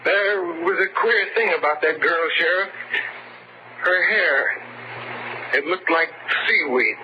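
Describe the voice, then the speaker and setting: A scared young small-town fellow in a vintage radio horror drama. He speaks in an earnest, halting, stammering way, on a dull recording with clear noise. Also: fairly high pitch. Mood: anxious, insistent. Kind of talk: 1940s radio drama acting. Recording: muffled, noisy old radio recording.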